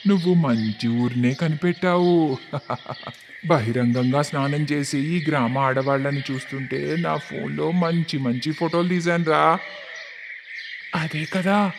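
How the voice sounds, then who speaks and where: A man's voice: fairly high pitch, background noise. A man voicing a sly young goon in a cartoon story who blackmails people in a smug, sneering way.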